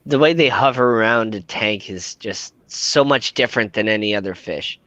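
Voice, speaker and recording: Low-voiced man explaining fish care. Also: normal speed, no room sound. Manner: relaxed, explanatory, with frequent "like" fillers. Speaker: fish store worker and co-host. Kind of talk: casual livestream chat.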